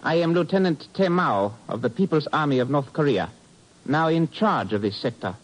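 An actor playing a formal, menacing North Korean army lieutenant in a 1950s radio drama, with clipped, officious politeness. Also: male voice, a little high, lively intonation, moderate pace. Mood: coldly officious. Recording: dull vintage radio broadcast recording.

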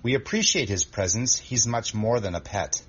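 A man playing an earnest Christmas elf, reciting rhyming children's verse in a measured rhythm. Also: lowish voice, a bit bright, slightly rough, highs cut off.

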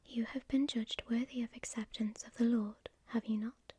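Solemn male angel messenger, as a woman whispers him, calm and commanding in a breathy, husky hush that sits a little high. He speaks in measured, preaching tones, mellow and soft-edged, right up close in a dry space with no room sound. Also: dry recording. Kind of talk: whispered storytelling.

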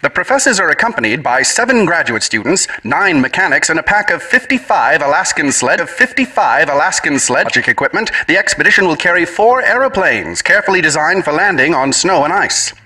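A man playing a 1930s radio news announcer who reads bulletins in a brisk, formal manner. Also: fairly high pitch, big pitch swings, sharp tone, heavily acted, poor audio.

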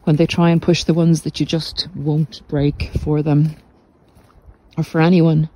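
A middle-aged woman giving reflective, informal podcast advice in a measured, deliberate way with pauses. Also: low voice, little pitch movement, mellow, low-quality recording. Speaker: podcast host and narcissistic abuse recovery coach. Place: walk outdoors along the coast.

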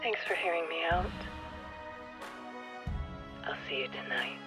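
A woman's voice: sits low, lively intonation, a little slow. An actress playing an earnest, nature-loving newcomer in a radio play, telling her thoughts intimately and wistfully to someone absent. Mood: tender, earnest. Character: earnest nature-loving newcomer woman speaking to a departed listener. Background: music.

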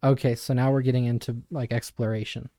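Young guy on a podcast explaining stuff, soft mellow tone, pretty monotone, measured and thoughtful. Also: medium pitch, dry recording, clean studio sound. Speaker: podcast co-host. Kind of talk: casual explanatory discussion.

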